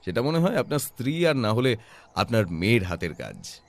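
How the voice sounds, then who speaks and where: An actor playing a calm, observant detective who explains things in a measured, self-assured way in an audio drama. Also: male voice, mid-range voice, creaky. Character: calm, observant male detective, patiently explaining his deductions.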